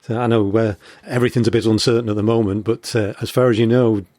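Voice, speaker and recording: Lowish-voiced man interviewing, dry sound. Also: fairly even intonation, fast, a little mellow, no room sound. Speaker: podcast host interviewer. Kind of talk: casual interview.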